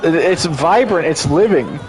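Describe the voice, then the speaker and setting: A man's voice: on the high side, animated, measured pace, a faint crowd, low-quality recording. A congested man giving casual, enthusiastic gameplay commentary as a streamer, rambling and restarting his sentences often.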